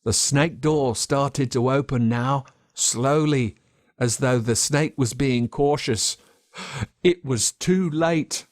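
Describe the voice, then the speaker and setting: An Australian man reading a story aloud as a dramatic narrator, expressive and measured with telling pauses. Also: mid-range voice, dry recording, clean studio sound. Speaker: audiobook narrator. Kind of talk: dramatic scripted storytelling in first person.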